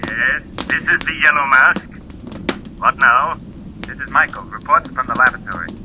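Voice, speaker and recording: An actor playing a terse, conspiratorial villain heard over a secret radio relay in a 1940s serial. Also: male voice, mid-range voice, normal speed. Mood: secretive, commanding. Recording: noisy vintage 1940s radio recording.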